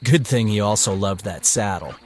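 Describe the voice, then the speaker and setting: An actor playing a lively, teasing young man in an audio story. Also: fairly low pitch, normal speed, slight background noise, lightly acted. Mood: eager, chatty. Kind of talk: acted dialogue in an audio story.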